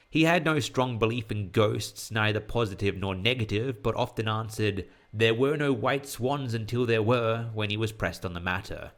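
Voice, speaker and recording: A man's voice: lowish voice, fairly bright tone. A man reading a scripted horror story as its narrator.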